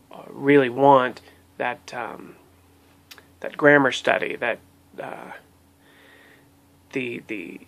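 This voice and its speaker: Gravelly-voiced man vlogging from home. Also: medium pitch, a bit bright. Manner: hesitant, many ums and restarts. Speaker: language learning vlogger. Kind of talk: casual spoken review. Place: small room at home, indoors. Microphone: webcam at desk.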